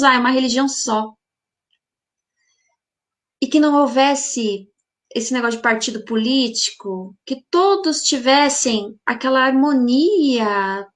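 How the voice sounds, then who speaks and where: A woman hosts a spiritual healing group, musing aloud in a live reflective talk and asking listeners many rhetorical questions. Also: high voice. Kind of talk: live reflective talk answering viewer comments.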